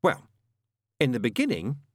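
A man's voice: mid-range voice, wildly swooping pitch, normal speed, no echo, clean studio sound. A man voicing a mild, well-meaning male angel in an audiobook, politely hesitant and a little fussy. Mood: regretful. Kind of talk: audiobook character dialogue.

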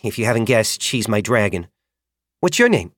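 A man's voice: fairly low pitch, dry recording, studio quality. A man voicing a young female dragon rider who is flustered but kind, talking anxiously and apologetically in an audiobook. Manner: anxious, apologetic, quickly talking herself calm. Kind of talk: audiobook character voice.

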